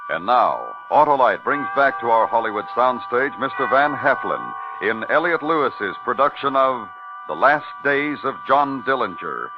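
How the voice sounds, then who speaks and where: A man's voice: mid-range voice, sing-song, normal speed. Theatrical 1950s radio announcer over music, male. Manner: formal, theatrical. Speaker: show announcer. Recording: dull 1950s radio broadcast recording.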